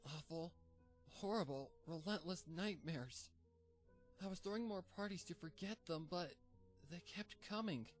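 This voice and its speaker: A man's voice: measured pace, faint music behind. A man reading a fanfic aloud, voicing a frantic, sleepless young female pony in a pleading, rising voice. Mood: desperate. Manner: high, pleading, breaking into despair.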